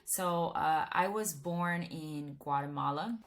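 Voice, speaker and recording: Low-voiced woman telling her own story. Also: slow pace. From Miami, Florida, United States. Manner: casual and conversational, with frequent restarts. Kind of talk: personal testimony to camera.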